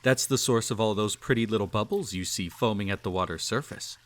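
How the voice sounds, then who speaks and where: Cruel male emperor, acted by a man, lowish voice, pompous and teasing, speaking intimately to the listener in audio drama. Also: fairly quick, close to an everyday voice. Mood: indulgent, condescending. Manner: pompous, formal, teasing, patronizing. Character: cruel, possessive male emperor, silky and condescending.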